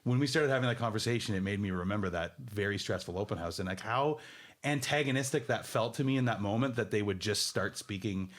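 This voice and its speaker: A man's voice: fairly low pitch, fast talker. A man, a real estate agent, telling a story in casual podcast chat; he says he has a big voice.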